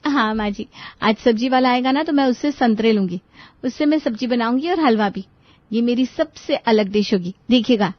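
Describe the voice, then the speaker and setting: An eager young daughter-in-law, as a woman storyteller plays her, speaks in a high, earnest voice. She is polite and devoted, proud of her kitchen, in a comic family tale with a slightly dull, close recording. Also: moderate pace, no echo, lightly acted. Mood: delighted, proud.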